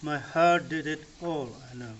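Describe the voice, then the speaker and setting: Poet, male; slow measured recitation in a roomy, dull lo-fi recording. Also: a little high, a little hiss or hum. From Manipur, India. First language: Manipuri. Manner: deliberate, repeats each stanza twice. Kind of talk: poetry recitation.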